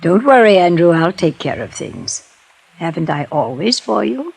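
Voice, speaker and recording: A rich, scheming society woman, speaks with a husky edge and sweeping, lively inflection. She is refined and imperious, in the manner of 1950s radio drama. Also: lowish voice, normal speed, full character voice. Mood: coolly commanding. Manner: refined, imperious, turning flustered when cornered. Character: wealthy, scheming, unhinged society woman, coolly commanding. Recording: old radio drama recording.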